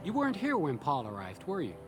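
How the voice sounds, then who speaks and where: A man's voice: a little high, huge pitch swings, fast. An actor playing a proud, assured male elf hunter, stern but welcoming, in video game dialogue. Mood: wry, welcoming. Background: game music.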